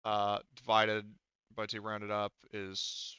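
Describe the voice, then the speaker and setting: A man's voice: fairly low pitch, monotone, slow pace, bright, forceful tone, clean tone, no echo. A man speaking as game master of a tabletop roleplaying game, casually profane, talking players through the rules. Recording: dull, lo-fi close dry voice track.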